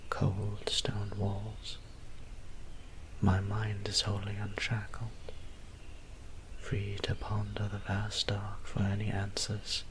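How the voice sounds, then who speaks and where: A man playing a gentle, weary vampire priest in an intimate audio drama, speaking softly and breathily with formal, old-fashioned, apologetic tenderness. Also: lowish voice, background noise. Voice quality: soft, breathy, whispery. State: tired.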